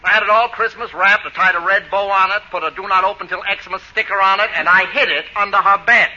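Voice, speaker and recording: An actor playing a henpecked, pompous middle-aged lodge president in a theatrical 1940s radio comedy style. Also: male voice, high voice, quick pace, pushed and bright. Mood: jovial, confiding. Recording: noisy, dull vintage radio recording.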